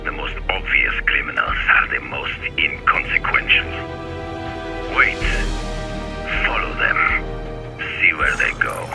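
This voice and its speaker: Very deep-voiced grave master hunter. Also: male voice, lively intonation, full character voice. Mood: instructing, stern. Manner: formal, measured, grave. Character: stern, mentoring older male master hunter. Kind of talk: video game cutscene acting. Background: loud music.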